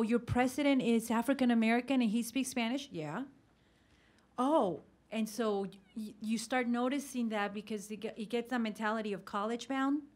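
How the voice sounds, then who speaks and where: A woman high school teacher in a workshop discussion, casually telling how she draws students in. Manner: casual, acts out student exchanges.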